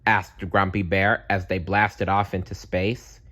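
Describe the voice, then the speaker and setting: A man's voice: low-pitched, flat delivery, unhurried, bright, forceful tone. A man reading a children's storybook aloud as narrator. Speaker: storybook reader.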